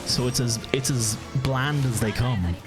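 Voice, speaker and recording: A man's voice: medium pitch, unhurried, over music. A man with a County Meath Irish accent, streaming a game and chatting casually with his viewers in an easygoing, rambling way. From Ireland. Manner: easygoing, rambling, answers chat, frequent restarts. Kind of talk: casual live-stream chat while gaming.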